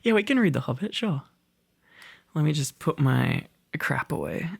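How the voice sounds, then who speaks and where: A man's voice: fairly high pitch, expressive, normal speed, vocal fry, slightly rough, dry recording. A young man speaking in a casual, playful and teasing boyfriend-roleplay ASMR style, rambling as he chats to the listener. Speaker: ASMR roleplay performer, reader.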